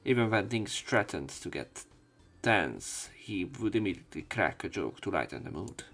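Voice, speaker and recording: A man with a low voice narrates a visual novel for a let's play. His delivery is casual and offhand, moving between story reading and his own commentary, with faint music behind him. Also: very animated, moderate pace, fairly bright tone.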